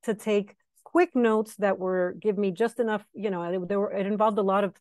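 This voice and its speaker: A woman's voice: mid-range voice, fairly even intonation, normal speed, a little mellow, no echo. A woman with a Miami Cuban accent talks through an earbud mic on a video call from home. She is chatty, laughs easily and restarts her phrases as she thinks. The sound is close and dry. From Miami, Cuban-American. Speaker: guest historian. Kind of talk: academic seminar talk. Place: home room. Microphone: wired earbud mic on video call.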